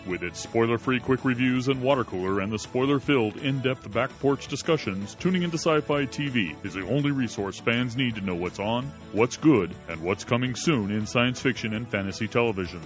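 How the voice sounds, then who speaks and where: A man's voice: mid-range voice, brisk, hardly any pauses, soft background music. A man reading a scripted promo as an announcer. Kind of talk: scripted podcast promo narration.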